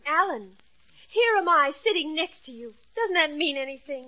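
A man-hungry sweetheart coos and presses her case in old radio comedy style. Her voice is high and bright, on a dull vintage recording. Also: lively intonation, normal speed. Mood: impatient. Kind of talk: 1940s radio sitcom acting.

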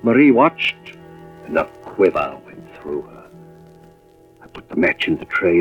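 A man playing a grave, suspicious husband and fire investigator in a mid-century radio drama, with deliberate, dramatic delivery. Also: low voice, heavily acted. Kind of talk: 1940s-50s radio drama acting, first-person narration. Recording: muffled vintage radio recording with music behind.

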